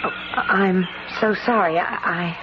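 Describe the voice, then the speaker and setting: An actress playing a friendly, chatty, wistful sister in a radio drama, with a natural, teasing manner. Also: female voice, mid-range voice, a little slow, lightly acted. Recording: muffled radio drama recording with loud noise.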